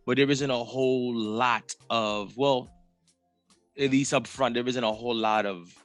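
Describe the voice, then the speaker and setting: A man's voice: steady tone, a little slow, a bit bright, no room sound. A man hosting a music podcast interview, chatting casually in a laid-back way with plenty of slang. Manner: laid-back slang, swings pitch for quoted reactions. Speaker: podcast host.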